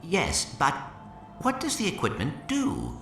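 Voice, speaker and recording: An innocent, well-mannered little robot from a video game. The speech is formal and earnest, mid-pitched but very lilting, echoing in a large hall with music. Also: male voice, wildly swooping pitch, takes it slowly, gravelly, heavily acted. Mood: curious, earnest. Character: polite, curious, innocent small robot. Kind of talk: video game cutscene acting. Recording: reverberant hall, loud music behind.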